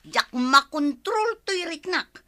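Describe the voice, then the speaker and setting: A woman acting a nosy, excitable village gossip in an Ilocano radio comedy drama, exclamatory, whiny and melodramatic. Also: high-pitched, slow, heavily acted. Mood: indignant.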